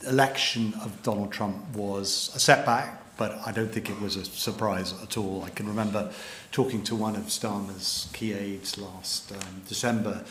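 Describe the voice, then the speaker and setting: A middle-aged man with a British accent, a journalist giving a measured panel talk with frequent ums and restarts. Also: lowish voice, fairly even intonation, a bit bright, slight background noise. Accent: British English. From Britain. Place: lecture hall. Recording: echoey hall with faint ambience.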